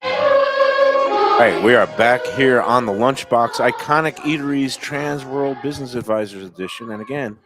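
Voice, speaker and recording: A man's voice: mid-range voice, wildly swooping pitch, normal speed, over loud music. A man hosting a casual lunch talk show, affable and rambling with lots of fillers.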